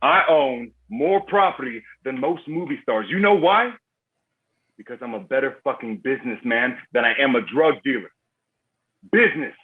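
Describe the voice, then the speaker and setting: A man's voice: medium pitch, animated, moderate pace, slightly rough. A man acting a menacing, cocky drug dealer boss in a screenplay table read, taunting and foul-mouthed. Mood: smug, taunting. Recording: muffled phone-like line, music behind.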